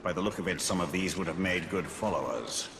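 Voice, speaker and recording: Deep, haughty, calculating lord. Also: male voice, a little fast, gravelly, fairly bright tone, slight background noise. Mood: cold appraisal. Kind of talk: video game cutscene acting. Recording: music behind the voice.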